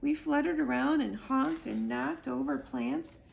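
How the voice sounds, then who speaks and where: A woman's voice: high voice, a little slow, gravelly, a little mellow, slight background noise, pitched above the performer's own voice, exaggerated voice. A woman reading a children's book aloud as a young goose earnestly telling his mother about his adventures. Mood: distressed.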